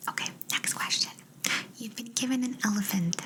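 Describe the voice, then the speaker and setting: A woman's voice: a little high, moderate pace. A woman whispering breathily and playfully as a quirky job interviewer in an ASMR roleplay, close to the microphone. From Canada. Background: writing sounds.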